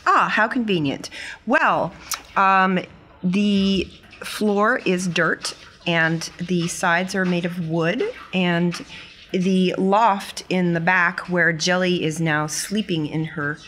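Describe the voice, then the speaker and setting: A woman playing a tabletop role-playing game, improvising casual descriptions in halting phrases. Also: on the low side, fairly even intonation, a bit bright, a little hiss or hum.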